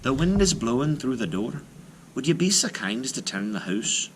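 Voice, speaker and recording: Folktale wife played by a male reader: clever, teasing, brisk, pitched high with lilting swoops. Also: sing-song, a little hiss or hum, close to an everyday voice, rough recording. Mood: polite. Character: shrewd, bold giant's wife, calm and teasing.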